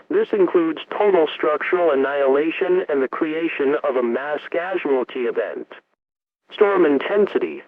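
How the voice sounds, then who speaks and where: Male emergency broadcast announcer, acted, slightly high-pitched, stern and detached, clipped official delivery, heard through narrow, tinny radio. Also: no room sound. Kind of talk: scripted emergency broadcast warning.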